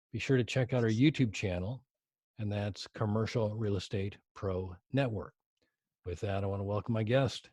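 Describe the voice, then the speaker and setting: A man's voice: fairly low pitch, warm tone, dry recording. A man hosting a podcast, introducing his guest and asking questions in a friendly, chatty way with many hesitant fillers. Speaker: podcast host. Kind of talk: podcast interview.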